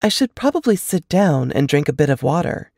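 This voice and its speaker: A woman voicing a weary, apologetic, ailing younger woman in an audiobook, speaking in a subdued, halting manner. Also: sits low, big pitch swings, vocal fry, darkish tone, no room sound, studio quality, heavily acted. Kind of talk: audiobook character dialogue.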